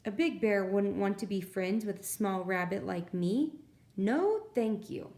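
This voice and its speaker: A woman's voice: lively intonation, a little slow, a little mellow. A woman voicing a small, wary, suspicious rabbit in a storybook. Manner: suspicious, high-pitched. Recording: roomy, echoey sound.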